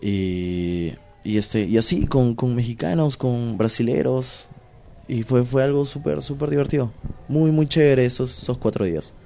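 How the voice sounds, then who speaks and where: A man's voice: mid-range voice, measured pace, gravelly. Slangy Peruvian man in casual interview. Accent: Peruvian Spanish. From Peru. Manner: animated, slangy, many fillers. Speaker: pro wrestler guest.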